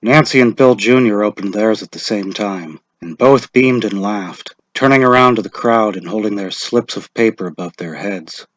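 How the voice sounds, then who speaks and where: Low-voiced male story narrator. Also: moderate pace, few pauses, no room sound, dull audio. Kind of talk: dramatic scripted story narration.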